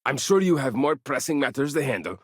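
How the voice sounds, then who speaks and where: A man voicing an eager, curious chief engineer of an aging colony starship in a video game, chatty and excitable. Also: mid-range voice, brisk, husky, no room sound, studio quality. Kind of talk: video game character dialogue.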